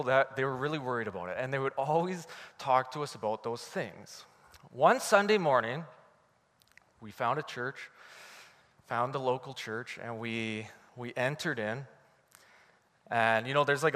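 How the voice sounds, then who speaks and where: A Canadian man preaching a sermon from the pulpit, telling a story in a lively, animated way. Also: medium pitch, normal speed, in a large hall. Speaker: pastor.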